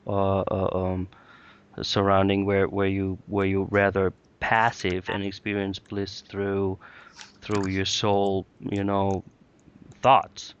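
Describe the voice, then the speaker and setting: A man hosts a podcast interview in a slow, level voice that is smooth and low. He hesitates often and gropes for words. The audio is dull and lo-fi. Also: monotone. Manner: hesitant, many fillers. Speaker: host.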